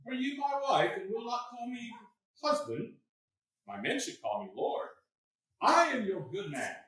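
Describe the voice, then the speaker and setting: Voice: mid-range voice, sing-song, unhurried, fairly bright tone. A performer playing a gullible, bawdy drunken tinker who believes he is a lord, delivered broadly in a staged Shakespeare comedy. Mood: pompous, amorous. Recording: roomy stage recording from far off.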